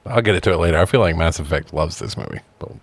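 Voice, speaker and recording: A man's voice: deep voice, racing, no room sound. A man co-hosting a casual comedic chat, chiming in with brief, wry remarks. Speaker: podcast co-host.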